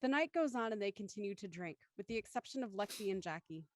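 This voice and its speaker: A woman's voice: medium pitch, lively intonation, quick pace, dry recording. A woman reading scripted stage directions as the narrator of a drama.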